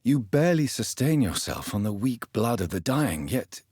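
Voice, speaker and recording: An actor playing a grave, educated gentleman doctor in a video game, stern yet courteous, with a husky voice and formal, measured diction. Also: mid-range voice, sing-song, no room sound, clean studio sound. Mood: accusing. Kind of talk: dramatic video game dialogue.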